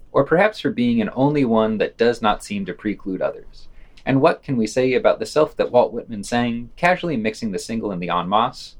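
A man hosting a podcast, reading a scripted news digest with quotes and his own commentary. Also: mid-range voice.

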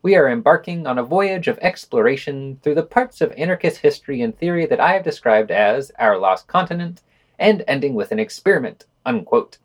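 A man's voice: mid-range voice, expressive, fairly quick. A man hosting a podcast, reading scripted news items and excerpts with brief wry commentary.

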